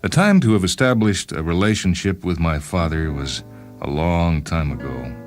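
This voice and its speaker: Very deep, pedantic inventor, read by a man. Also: lively intonation. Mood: wry, detached. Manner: pedantic, deliberate, self-absorbed. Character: obsessive thirty-two-year-old time-travelling inventor, pedantic, coolly murderous. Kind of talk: first-person dramatized short-story narration. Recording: music behind the reading.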